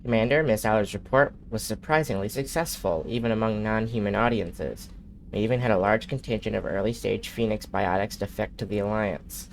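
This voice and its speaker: A man with a low, gravelly voice and a nasal edge reads in-game messages aloud at an unhurried pace, now and then stumbling and restarting a word. A faint hiss of noise sits under the recording. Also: low-quality recording. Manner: steady, unhurried reading with occasional slips and restarts. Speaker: streamer. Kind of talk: reading in-game messages aloud during a live gameplay stream.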